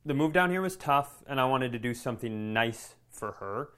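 A man with a mid-pitched voice co-hosts a casual comedy podcast. He tells stories in a rambling way, often cutting himself off and swearing as he goes. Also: animated, moderate pace, fairly bright tone, clean tone. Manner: rambling, self-interrupting, profane. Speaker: podcast co-host.

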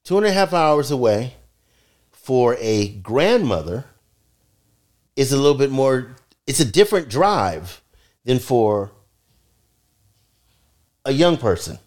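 A man's voice: medium pitch, big pitch swings, takes it slowly, pauses often, darkish tone. A man in his sixties, a father joining his daughter's podcast, talking casually and joking, often repeating words. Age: 60s. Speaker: podcast guest, father of the co-host.

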